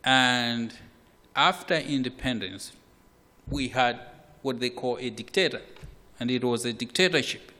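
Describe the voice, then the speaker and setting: A man from Malawi, preaching a sermon in a slow, measured way with repeated words. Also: medium pitch. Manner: slow, measured, with repetitions and occasional soft laughs. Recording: hall reverb.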